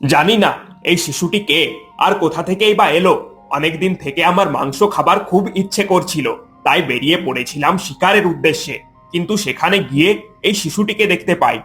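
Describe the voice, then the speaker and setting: A man's voice: fairly high pitch, moderate pace, fairly bright tone, faint music behind, natural voice. A man voicing a kind-hearted, protective jungle fox in a cartoon story, with an earnest, plaintive delivery. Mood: troubled, plaintive.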